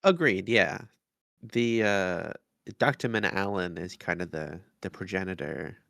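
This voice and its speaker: Slow, gravelly low-voiced man. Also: a bit bright, dry recording, studio quality. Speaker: podcast co-host. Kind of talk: casual discussion.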